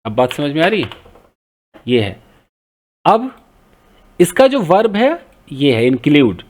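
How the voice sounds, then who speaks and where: A man's voice: a little high, wildly swooping pitch, slow pace, a little mellow, a little hiss or hum. A man teaching English grammar from a newspaper, patiently repeating points and asking his learners questions. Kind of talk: grammar lesson explaining a newspaper.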